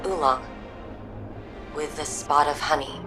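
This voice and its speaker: Wry mission guide over loud music. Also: female voice, deep voice, fairly even intonation, slow. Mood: quiet, preoccupied. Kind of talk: scripted video game dialogue.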